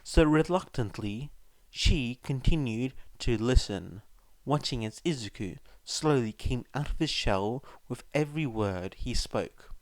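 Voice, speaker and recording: Unhurried, expressive male story narrator. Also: medium pitch, dry recording. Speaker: fan fiction audiobook narrator.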